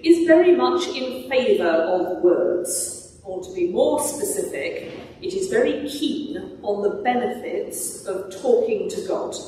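A woman's voice: fairly high pitch, darkish tone, a little hiss or hum. A middle-aged woman preaching a sermon from a lectern in a large church, in a thoughtful, explanatory way. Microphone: stand mic, filmed by a far camera. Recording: echoey church from far off.

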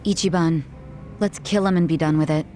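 A woman's voice: low-pitched, fairly even intonation, a little fast, warm tone, lightly acted. An actress voicing a confident, tough young woman in a video game, with a dry, direct manner. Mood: wry, assertive. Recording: music behind the voice.

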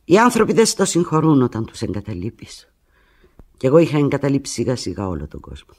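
An actress playing a desperate, pleading abandoned woman in a radio drama phone monologue, with a low, husky voice and an intense, fragmented delivery. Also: huge pitch swings, exaggerated voice. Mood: wounded, resigned. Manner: intense, fragmented, swinging from hushed to anguished.

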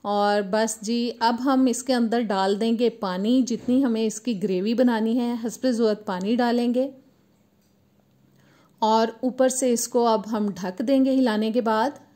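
A woman, host of a cooking channel, calmly explaining a recipe step by step in voice-over. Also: fairly high pitch, measured pace. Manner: steady step-by-step instructional tone.

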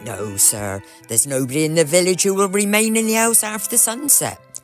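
A woman's voice: lowish voice, moderate pace, faint music behind, close to an everyday voice. A woman playing a dutiful, fearful older housekeeper in a period ghost drama, deferential and theatrical. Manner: deferential, old-fashioned, theatrical. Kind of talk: period audio drama acting.

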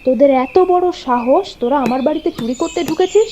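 A woman's voice: high-pitched, animated, fast, warm tone, a little hiss or hum. A woman playing a protective, fiery homemaker in a doll-story drama, speaking theatrically and emphatically. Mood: determined.

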